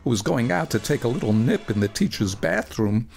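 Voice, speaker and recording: A male storyteller with a creaky, gravelly voice narrates a first-person memoir from a script. He is theatrical and deliberate, stretching words for emphasis. Music plays faintly underneath. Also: mid-range voice, sing-song, darkish tone. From Brooklyn, New York, United States.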